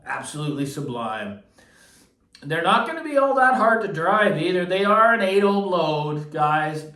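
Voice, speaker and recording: An echoey listening room with the microphone some way off carries a man's slightly high voice. He talks casually and without a script, like a reviewer addressing his regular viewers. His delivery is enthusiastic and emphatic, often repeating a phrase to drive it home. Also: a bit bright. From Canada. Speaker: audio gear reviewer. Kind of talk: casual unscripted vlog review. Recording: roomy echo, distant microphone.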